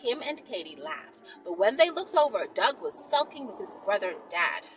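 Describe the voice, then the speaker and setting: A woman reading a story aloud in an expressive, informal, giggly manner with chatty asides. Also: medium pitch, moderate pace, soft background music, poor audio.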